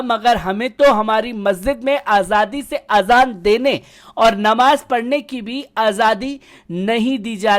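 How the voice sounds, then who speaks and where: A woman presenting a news report with narration and commentary. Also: fairly high pitch.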